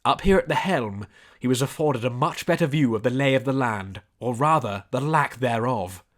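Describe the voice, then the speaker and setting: A man reading scripted story narration in a measured storybook delivery. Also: mid-range voice, expressive. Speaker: audiobook narrator.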